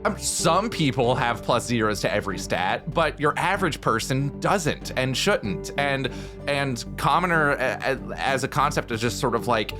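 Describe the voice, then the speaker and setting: A man's voice: medium pitch, lively intonation, normal speed, music in the background. A man arguing casually but passionately, emphatic and stumbling, repeating himself as he goes. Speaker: podcast panelist. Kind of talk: impassioned casual debate.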